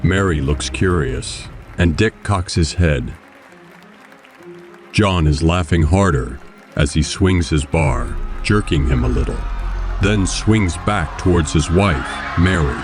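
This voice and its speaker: A man's voice: sits low, slow. A man giving scripted narration for an audio drama. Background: music and crowd sounds.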